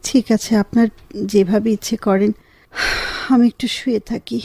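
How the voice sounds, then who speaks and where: A woman acting a gentle, grateful, affectionate wife who is convalescing, in a Bengali radio drama. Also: mid-range voice, steady tone, a little slow, creaky, breathy edge, no echo. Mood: polite.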